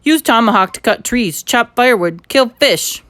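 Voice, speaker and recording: A woman's voice: a little high, animated, moderate pace, a bit bright, no echo, voice pushed up. A woman voicing a small proud warrior man who speaks in blunt, clipped broken sentences. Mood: demanding.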